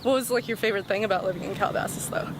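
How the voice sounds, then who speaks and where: A young woman from the Los Angeles area hosts a relaxed sidewalk interview. She asks questions casually and jumps in with short reactions. Her pitch sits in the middle, with faint street noise around her. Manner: chatty, reacts with quick exclamations. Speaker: interviewer host. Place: outdoors on a sidewalk.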